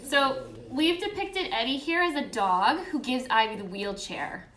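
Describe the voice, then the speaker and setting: A woman with a fairly high voice hosts a casual live stream from a roomy, echoing space. Her tone is bright and forceful. She talks to viewers in a chatty, affectionate way. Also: unhurried. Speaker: host and children's book author. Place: indoors in a room, live-streamed. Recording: echoey room.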